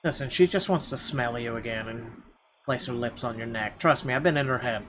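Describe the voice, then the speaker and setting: A man's voice: medium pitch, fairly quick, background noise. A man hosting a gaming video, reading visual novel narration dramatically and breaking into irreverent, sweary asides. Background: game music.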